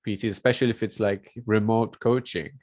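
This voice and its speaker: A man with a lingering cough chatting casually as a podcast guest, halting as he searches for words. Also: lowish voice, steady tone, darkish tone, no echo, studio quality. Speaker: podcast guest and personal trainer.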